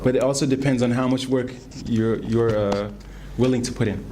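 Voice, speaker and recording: A man whose first language is Pular, an English teacher answering student questions in a relaxed, thinking-aloud way. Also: mid-range voice, a little fast, over music.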